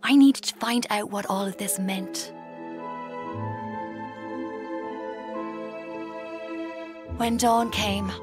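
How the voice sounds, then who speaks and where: A woman's voice: mid-range voice, fairly even intonation, close to an everyday voice. Actress as a reflective young woman narrator, music behind. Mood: curious, intent. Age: young adult. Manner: intimate, reflective, deliberate. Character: brooding, watchful young woman narrating her own story. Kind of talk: scripted first-person radio drama narration.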